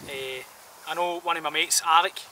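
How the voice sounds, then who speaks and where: Bright-voiced man, outdoors. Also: on the high side, steady tone, moderate pace. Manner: informal, colloquial, rambling. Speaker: bushcraft gear reviewer. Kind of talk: casual outdoor product review to camera. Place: outdoors in a woodland camp. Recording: distant outdoor mic, some background noise.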